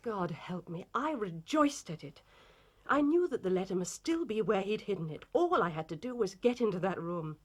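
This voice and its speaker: A woman's voice: medium pitch, animated, moderate pace, natural voice. An actress playing an anguished, proud titled Victorian lady in a period drama, formal and emotionally intense. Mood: anguished remorse.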